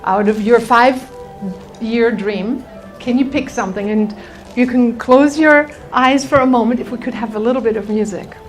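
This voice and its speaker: Higher-voiced woman leading a motivational workshop. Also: normal speed, faint music behind, poor audio. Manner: animated storytelling, addressing the audience directly. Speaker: seminar teacher, motivational speaker. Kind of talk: motivational workshop talk with anecdotes and guided exercise.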